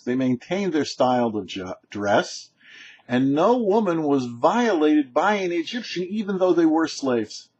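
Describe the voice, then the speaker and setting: A man presenting a short religious teaching as a rabbi, explaining deliberately with emphatic pauses. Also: fairly high pitch, husky. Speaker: rabbi presenting a weekly Torah portion show. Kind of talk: short religious teaching, read to camera or mic. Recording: dull, muffled lo-fi sound.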